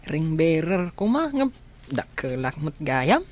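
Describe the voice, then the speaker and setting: A man's voice: fairly high pitch, sing-song, slow pace. A man playing a cheeky, innocent young boy with an Ilocano accent in an audio drama. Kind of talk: Ilocano radio-style drama acting.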